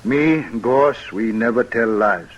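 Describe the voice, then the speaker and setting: An actor playing a dim-witted, amiable outlaw prisoner in an old-time radio western, rustic and guileless. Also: male voice, medium pitch, slow pace, highs cut off.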